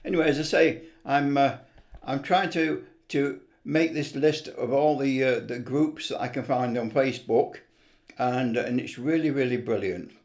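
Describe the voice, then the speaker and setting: A man's voice: mid-range voice, measured pace, a little mellow. Enthusiastic older hobbyist man, rambling casually. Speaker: hobbyist video presenter. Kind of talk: casual unscripted vlog talk.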